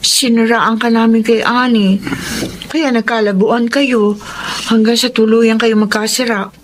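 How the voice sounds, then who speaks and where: An actress with a Filipino accent playing a remorseful, pleading young friend in a radio drama. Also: female voice, fairly high pitch, steady tone, a little slow, a little hiss or hum, natural voice, low-quality recording.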